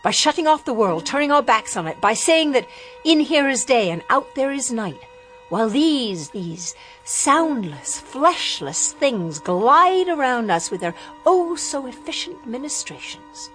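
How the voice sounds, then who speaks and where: A young actress playing a rebellious, impassioned daughter in a radio drama. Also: female voice, sits high, sing-song, unhurried, a bit bright, soft background music, heavily acted. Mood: defiant. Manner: emotional, defiant, impassioned.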